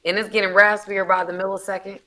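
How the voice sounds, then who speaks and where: A woman hosting a podcast with a raspy voice, talking casually to her listeners. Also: medium pitch, flat delivery, fast. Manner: casual, addresses listeners warmly. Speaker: podcast host. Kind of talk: podcast chat.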